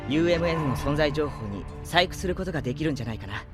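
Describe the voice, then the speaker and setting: A composed, clever boy crewman played by a man speaks politely in a fairly high, youthful voice. His manner is soft and matter-of-fact, in sci-fi audio drama style over prominent music. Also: animated, fast talker, natural voice. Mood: calm, explaining. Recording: loud music behind the voice.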